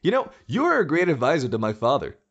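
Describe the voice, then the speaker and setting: A man's voice: on the high side, huge pitch swings, fast talker, fairly bright tone, highs cut off, exaggerated voice. A man playing a gleefully menacing young tyrant president in an audio drama, sarcastic and mock-friendly. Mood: mock delight.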